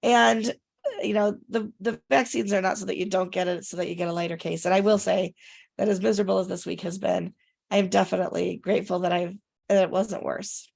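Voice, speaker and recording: A woman co-hosting a casual chat, her voice raspy and congested from recent illness, talking in a rambling way with fillers. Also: mid-range voice, steady tone, fairly quick, a little mellow. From Rochester area, upstate New York. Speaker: podcast co-host.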